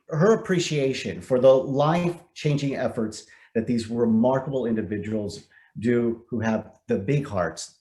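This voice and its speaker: A man's voice: medium pitch, darkish tone. Man hosting a virtual event. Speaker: event host, news anchor. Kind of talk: scripted event hosting over video call. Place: indoors on a video call.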